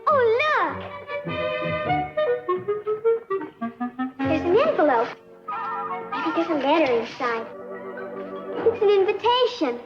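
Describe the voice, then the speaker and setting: A woman's voice: very high-pitched, very animated, unhurried, over music, exaggerated voice. A child actress playing a curious, doubtful schoolgirl, earnest and wondering, in a 1950s educational film. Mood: excited. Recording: dull vintage film soundtrack.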